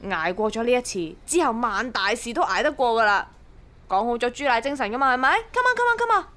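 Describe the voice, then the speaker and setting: A woman's voice: fairly high pitch, very animated, brisk, sharp tone. An actress playing a pushy, scheming young woman with a Hong Kong accent, nagging emphatically in a radio drama. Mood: coaxing. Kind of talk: Cantonese radio drama acting.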